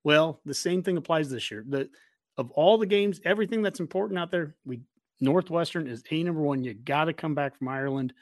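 A man's voice: fairly high pitch, brisk, no room sound. An American man hosting a casual sports discussion, talking in an emphatic, opinionated way. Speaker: podcast host.

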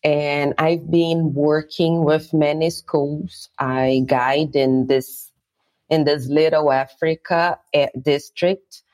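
Brazilian lady tour guide, talks real slow and careful, lowish voice, portuguese is her first language. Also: steady tone, a little mellow. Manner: measured, explanatory, searching for words. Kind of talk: interview guest explaining history.

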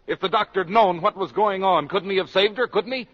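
An American actor playing an indignant, sarcastic newspaper reporter in a 1950s radio drama. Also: male voice, high voice, brisk. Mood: indignant, accusing. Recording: dull, echoing old radio recording.

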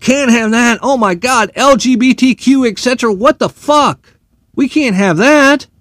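A man's voice: very high voice, unhurried, a bit bright, dry recording, studio quality. A man, a military veteran, delivering a profane, sarcastic and heated opinion monologue.

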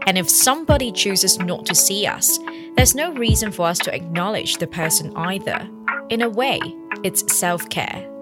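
A woman hosting a podcast, reading measured, scripted narration. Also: mid-range voice, lively intonation, over music. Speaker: podcast host.

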